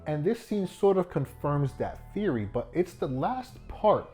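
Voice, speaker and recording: A man narrating a video essay in an informal, jokey way, with animated asides and some swearing. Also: on the high side, a little slow. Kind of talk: scripted video essay commentary with joking asides. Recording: music bed behind the voice. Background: background music.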